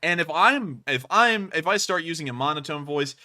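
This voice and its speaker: Animated high-pitched young man. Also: moderate pace. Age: young adult. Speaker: co-host of a live stream. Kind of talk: live stream commentary.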